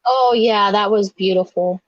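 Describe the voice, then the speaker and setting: A woman's voice: medium pitch, unhurried, no echo, dull audio. A woman chatting casually as a panelist on a livestream. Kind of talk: casual chat.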